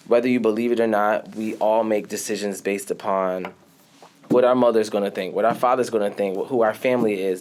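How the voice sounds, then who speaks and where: A man, a podcast guest, speaking in a casual interview with animated storytelling that often laughs and trails off quietly. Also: medium pitch, slight background noise.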